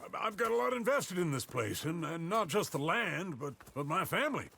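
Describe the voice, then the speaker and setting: Resolute but worried American landowner in a western game: stammers a little, fairly high, lively pitch. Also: male voice, normal speed, creaky, breathy edge, heavily acted. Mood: uneasy, friendly. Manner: hesitant, stammering, genteel. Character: anxious but resolute older ranch owner. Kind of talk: dramatic video game acting.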